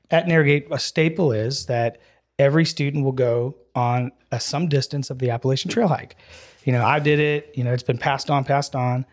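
Midwest-born man telling stories. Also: medium pitch. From Wisconsin, US Midwest. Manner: animated storyteller, laughs easily, many filler "you know"s. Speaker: guest, ministry program leader. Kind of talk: casual storytelling in a podcast chat.

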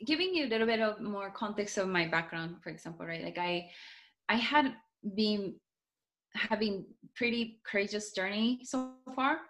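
A woman with a Japanese accent, whose first language is Japanese, answers interview questions as an author. Her pitch sits in an ordinary middle range for a woman. She talks in loose, rambling restarts full of fillers. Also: lively intonation, normal speed, fairly bright tone. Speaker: guest, author. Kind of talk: casual conversational interview.

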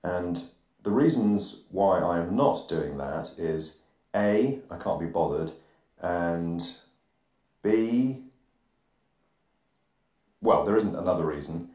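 A man hosting an absurdist comedy podcast, rambling deadpan monologue recorded on a phone. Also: low-pitched, slow pace, pauses often. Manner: deadpan, rambling, drops articles. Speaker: podcast host. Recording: phone recording with reverb plugin, bathroom echo.

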